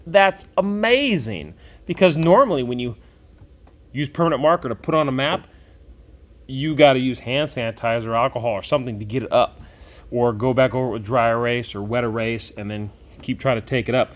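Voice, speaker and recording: A man giving a casual hands-on product review, enthusiastic and folksy, thinking out loud as he goes. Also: medium pitch, fairly quick. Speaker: game shop owner and product reviewer. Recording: clearly noisy room recording.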